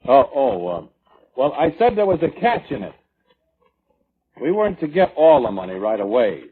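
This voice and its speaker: A man's voice: mid-range voice, sing-song, a little hiss or hum, lightly acted. Man acting a whining, self-justifying brother, tinny old radio. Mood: uneasy. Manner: defensive, whining, evasive, halting. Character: self-justifying, weaselly brother testifying at an inquest. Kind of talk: 1940s radio drama acting.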